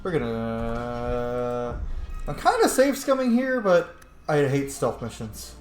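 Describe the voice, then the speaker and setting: Slow-paced man gaming in an echoey room. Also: mid-range voice, expressive. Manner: playful, sweary, muttering to himself. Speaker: let's player hosting a gameplay series. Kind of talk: casual playthrough commentary.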